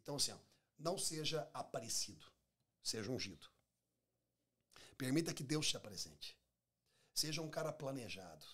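A Brazilian pastor in his forties speaks as a studio guest, in a medium-pitched, somewhat husky male voice. He preaches conversationally and emphatically, repeating key phrases and voicing little dialogues. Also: big pitch swings, moderate pace, long pauses, fairly bright tone. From Minas Gerais, Brazil. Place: small podcast studio. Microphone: close studio microphone on table stand.

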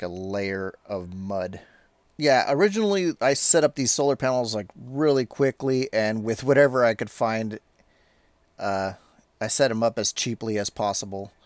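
Low-voiced man, casual and halting. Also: dry recording. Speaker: tech video maker, host. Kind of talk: casual hands-on product update.

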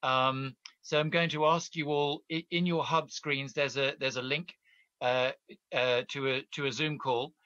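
Bright-voiced man hosting a virtual forum. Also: medium pitch, steady tone, unhurried, no echo, muffled recording. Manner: polite, hesitant with many fillers. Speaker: editor and interviewer, host. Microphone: video call.

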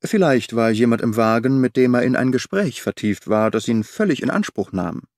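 Guy reading as a sharp detective thinking out loud, bouncy delivery, dry booth mic. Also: medium pitch, normal speed, no echo, natural voice. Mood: reasoning. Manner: lively, reasoning aloud. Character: keen, sharp-witted male detective.

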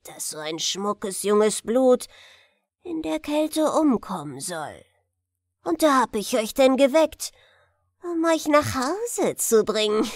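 A woman voicing a gaunt old witch from a storybook, hoarse and cackling, wheedling with false motherly kindness. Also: high voice, no room sound, clean studio sound, voice pushed up, full character voice. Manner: drawn-out, wheedling, mock-kindly. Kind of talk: storybook character voice.

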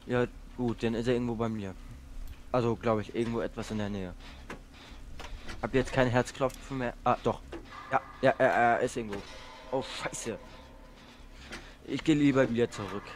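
A man's voice: mid-range voice, fairly even intonation, moderate pace, long pauses. A young man playing a horror game with a friend, chatting casually and swearing, yelping when he gets chased. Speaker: gamer co-op player. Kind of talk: casual let's play chat.

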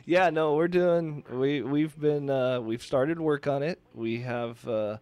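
A man's voice: on the high side, slow pace, darkish tone, smooth, no echo. A man hosting a radio show, chatting casually and laughing easily into a studio microphone.

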